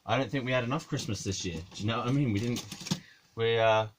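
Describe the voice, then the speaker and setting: A man's voice: medium pitch, fast talker, a little hiss or hum. Rambling jokey man. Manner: jokey, digressive, swears, laughs at his own jokes. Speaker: musician and video maker. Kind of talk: rambling comic monologue to camera.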